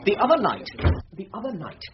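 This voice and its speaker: An actor playing a pompous, peevish English barrister in a radio comedy-drama, exasperated and clipped. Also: male voice, high-pitched, huge pitch swings, brisk. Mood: fussy complaint. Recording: muffled radio recording, faint noise.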